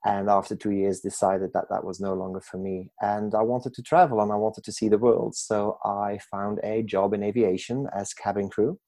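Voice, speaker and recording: A man in his forties from Belgium, telling his own story as a podcast guest in a reflective, measured way with some self-corrections. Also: fairly low pitch, flat delivery, dark tone, no echo. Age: 40s. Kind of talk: reflective personal storytelling in an interview.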